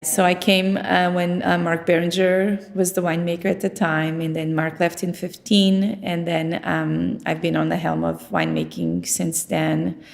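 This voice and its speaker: A woman's voice: fairly low pitch, normal speed, creaky, hardly any pauses. A middle-aged woman from Portugal, talking conversationally about her work in an interview. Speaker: winemaker being interviewed.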